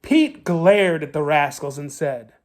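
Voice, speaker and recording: A man narrating a children's storybook in a theatrical, deliberate way. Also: on the high side, big pitch swings. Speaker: storybook reader.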